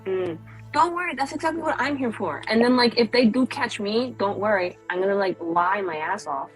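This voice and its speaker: A woman's voice: mid-range voice, lively intonation, normal speed, rough recording. Young woman rambling in character, giggly, fillers everywhere, faint music behind. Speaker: player. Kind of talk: in-character tabletop roleplay chat.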